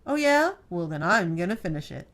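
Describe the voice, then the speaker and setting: A woman's voice: fairly low pitch, big pitch swings, moderate pace, fairly bright tone. A woman reading the part of an easygoing, teasing husband in an audiobook novel, with a laid-back manner. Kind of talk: audiobook character dialogue.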